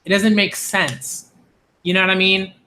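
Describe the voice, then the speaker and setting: A man's voice: high voice, level tone, unhurried, pushed and bright. A man in his late twenties, a channel host talking straight to his viewers in an emphatic, repetitive, exasperated way. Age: 20s. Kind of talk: direct-to-camera rant.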